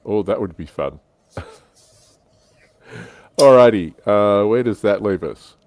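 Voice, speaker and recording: Deep-voiced man hosting a chat. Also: slow pace, darkish tone. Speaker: host. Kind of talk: casual panel chat.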